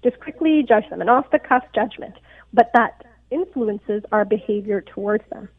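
A woman's voice: medium pitch, normal speed. A woman physician, originally from Pakistan, speaking as a radio interview guest in an earnest, explanatory way, often checking in with "right?". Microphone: phone call. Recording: tinny phone line.

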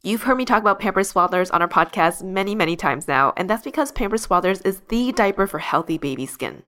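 A woman's voice: mid-range voice, lively intonation, a little fast, a bit bright. A woman podcast host reading a sponsored ad in an upbeat, persuasive, scripted way. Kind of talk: ad read.

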